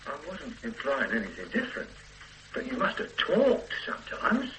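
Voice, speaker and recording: A blunt police inspector in a vintage radio drama who grinds away at a reluctant witness. His voice is bright and lively, moving a lot in pitch. There is a faint hiss behind him. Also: normal speed, rough recording. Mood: probing. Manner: pressing, sardonic, threatening when stonewalled. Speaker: police detective questioning a witness. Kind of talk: 1970s-80s radio drama acting.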